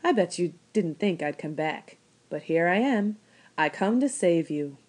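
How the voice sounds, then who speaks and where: A woman's voice: fairly low pitch, lively intonation, unhurried, a little mellow. A woman reading a storybook, voicing a humble, kindly young farm boy with plain rustic speech. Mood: gentle, determined. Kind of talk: children's storybook read aloud.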